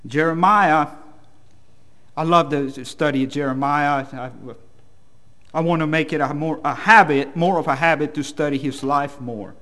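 A male preacher delivers a sermon in English with a Salvadoran Spanish accent. His voice sits a little high for a man. He speaks plainly and a bit hesitantly, with a self-deprecating way about him. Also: fairly even intonation, moderate pace, sharp tone.

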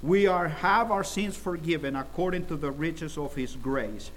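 A middle-aged man from El Salvador preaches a sermon with a voice pitched somewhat high for a man. He tells stories at a steady pace and swells his volume for emphasis. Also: normal speed, a little hiss or hum, poor audio.

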